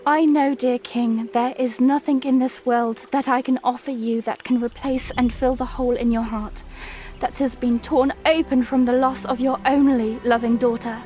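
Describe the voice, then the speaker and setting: A woman's voice: high-pitched, fairly even intonation, normal speed, dark tone, soft background music, close to an everyday voice. A woman playing an earnest, dignified young princess with formal, courtly speech in a fantasy audio drama. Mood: sorrowful, humble.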